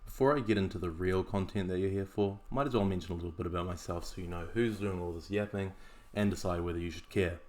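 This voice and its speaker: A man's voice: sits low, fast talker, darkish tone. A man with a New Zealand accent hosting a podcast, reading a scripted narration with dry, wry asides. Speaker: podcast host.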